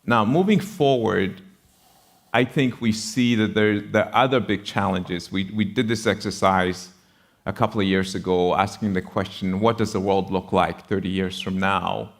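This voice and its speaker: Ghanaian guy on a panel, kinda low voice, talks careful and measured, lots of pauses. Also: fairly even intonation. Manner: measured, deliberate, pausing between phrases. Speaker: panelist, university founder. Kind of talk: panel discussion, explanatory speech.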